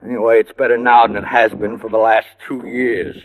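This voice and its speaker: A man's voice: lowish voice, flat delivery, brisk, close to an everyday voice. A man acting a desperate, nervous young American fugitive in an old-time radio crime drama, stammering and pleading. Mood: weary, confessing. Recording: muffled old radio broadcast recording.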